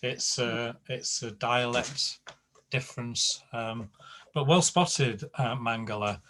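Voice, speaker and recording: Man, teacher, video call, slow halting speech, mid pitch. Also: a bit bright, slight background noise. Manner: slow, hesitant, filler-heavy. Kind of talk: online class.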